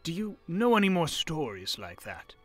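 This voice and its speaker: A man's voice: on the high side, huge pitch swings, moderate pace, soft background music. A man playing an elderly history professor in an audio drama, wistful, wondering and hesitant. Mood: curious, puzzled. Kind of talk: film-adaptation audio drama acting.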